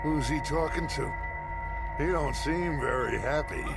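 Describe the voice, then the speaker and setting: An actor playing a commanding middle-aged American outlaw gang leader in a video game, theatrical and oratorical, bent on vengeance. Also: male voice, a little high, a little slow, vocal fry, over loud music. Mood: hushed, watchful. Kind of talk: dramatic video game acting.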